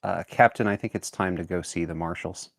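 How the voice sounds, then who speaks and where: A man playing a tabletop roleplaying game, speaking in character with formal, deliberate phrasing. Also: low voice, vocal fry, dry recording, clean studio sound.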